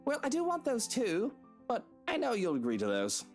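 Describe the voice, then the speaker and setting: A woman's voice: a little high, huge pitch swings, normal speed, a little mellow, soft background music. A woman voicing a smug, flirtatious married man who teases the others in a game scene. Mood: smooth.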